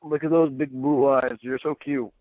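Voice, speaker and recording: A man's voice: medium pitch, steady tone, normal speed, dry recording. A man reading a chat exchange aloud and adding his own asides, heard through narrow, tinny playback.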